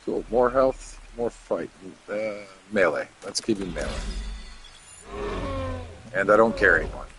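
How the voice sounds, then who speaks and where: A man's voice: fairly low pitch, animated, measured pace, a bit bright, slight background noise. A man in his thirties chatting casually to his viewers while he plays a game, rambling from topic to topic and muttering to himself as he works through menus. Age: 30s. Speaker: game streamer.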